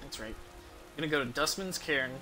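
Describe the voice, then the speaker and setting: A man's voice: on the high side, moderate pace, over music. A man giving casual, rambling gameplay commentary as a game streamer, with some swearing.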